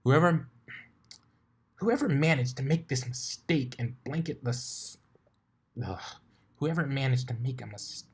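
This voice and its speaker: A cocky young pegasus mare, acted out by a man, grumbles and exclaims in a raised pitch. She is a brash storybook character. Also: expressive, a little slow, pauses often, slightly rough, full character voice. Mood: indignant.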